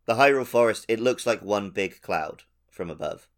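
A man's voice: lowish voice, expressive, normal speed. A man co-hosting a gaming podcast in casual conversation. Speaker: podcast co-host. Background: prominent plucked-guitar music.

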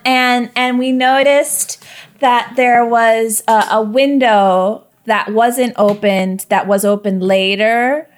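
Woman playing a naive young woman, high voice, bubbly, rambling, giggly, in-character roleplay. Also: steady tone, slow. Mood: cheerful. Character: naive, chatty young woman raised in a goddess commune.

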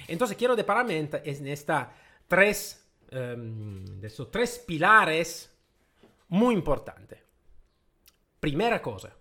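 A man's voice: a little high, fairly bright tone. A man from Italy speaking Spanish with an Italian accent, talking emphatically and repeating his points in a casual monologue. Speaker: host.

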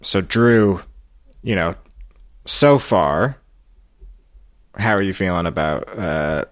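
A man hosting a podcast, giving casual recap commentary in short, halting phrases. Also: low voice, expressive, dry recording, clean studio sound. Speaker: podcast host.

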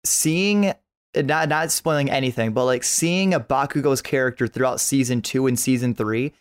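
A man talks casually as a podcast guest, with the keenness of a devoted fan. He often doubles back on his phrases as he chats about anime at a middling pitch. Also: moderate pace, smooth.

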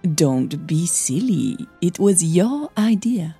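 A woman as composed older mother, husky and unhurried. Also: fairly low pitch, sing-song, mellow, no room sound, faint music behind, full character voice. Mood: dry, composed. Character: composed, amused older mother, wealthy and frank.

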